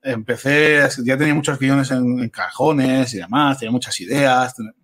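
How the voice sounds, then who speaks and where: Man, Spain accent, mid-pitched voice, casual interview guest, talkative, informal storytelling. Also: moderate pace, fairly bright tone. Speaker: interview guest, amateur filmmaker and former radio show co-host. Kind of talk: casual podcast conversation.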